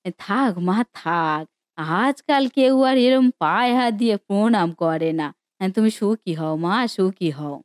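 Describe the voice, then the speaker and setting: A woman's voice: mid-range voice, expressive, unhurried, smooth, dry recording, clean studio sound, lightly acted. A woman voicing a kindly, elderly village granny in a cartoon story, affectionate and exclaiming as she addresses others like her children. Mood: tender blessing. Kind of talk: Bengali cartoon story voice acting.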